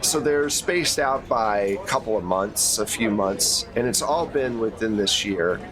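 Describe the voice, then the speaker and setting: A man's voice: on the low side, lively intonation, measured pace, music in the background, low-quality recording. A man speaking as game master and host of a tabletop game, narrating and explaining off the cuff in a casual way.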